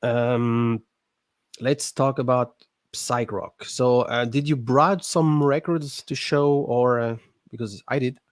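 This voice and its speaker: A man from Alsace with a French accent, hosting a casual livestream chat, halting and restarting often. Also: medium pitch, steady tone, no echo, clean studio sound. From Alsace, France. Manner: halting, many restarts and repeated words. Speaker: livestream host.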